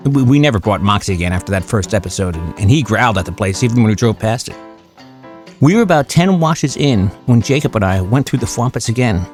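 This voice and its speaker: A man's voice: on the low side, expressive, brisk, lightly acted. A man playing a middle-aged suburban father who tells a small-town horror story in a folksy, confiding, conversational way. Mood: wry. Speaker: narrator of an audio horror story. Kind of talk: conversational first-person scripted storytelling. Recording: music bed under the voice. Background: background music.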